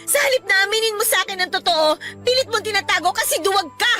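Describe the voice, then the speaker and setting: Very high, bright, emotional Filipina young mother. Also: animated, quick pace, faint music behind, heavily acted. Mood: furious. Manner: emotional, confrontational, pleading. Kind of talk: Filipino radio drama acting.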